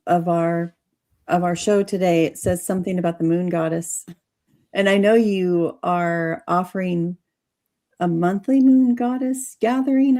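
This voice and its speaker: A woman hosting a show, putting questions conversationally with tentative, halting phrasing. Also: fairly low pitch, mellow. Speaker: show host. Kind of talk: conversational interviewing.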